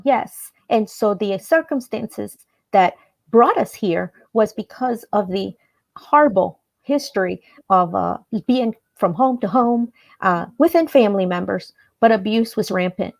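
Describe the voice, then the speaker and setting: Woman from El Salvador, interview guest, earnest and measured, mid pitch, some hesitations. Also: animated, gravelly. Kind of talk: personal storytelling in an interview.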